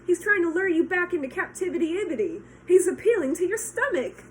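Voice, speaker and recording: A woman doing a shrill bossy goose. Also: animated, fairly quick, a little hiss or hum. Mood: urgent. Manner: repeats phrases, stutters words. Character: bossy excitable goose, female, honking and shrill.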